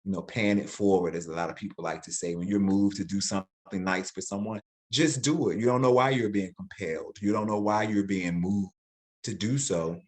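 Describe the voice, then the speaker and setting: A man's voice: on the low side, fairly quick. A man in his forties, an educator, speaking reflectively in an interview and often repeating words as he thinks. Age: 40s. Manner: reflective, animated when quoting, repeats words. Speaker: guest, educator. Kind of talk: interview conversation.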